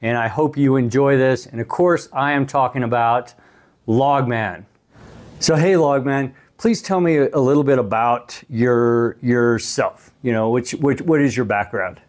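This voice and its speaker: A man in his early sixties speaks as a vlogger and interviewer in casual, conversational style. His pitch sits in the middle for a man. He is close on a clip-on mic in a small room. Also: a little slow. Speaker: vlogger, thru-hiker interviewing another hiker. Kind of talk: casual vlog talk to camera. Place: small hotel room, indoors. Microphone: clip-on lavalier on the chest.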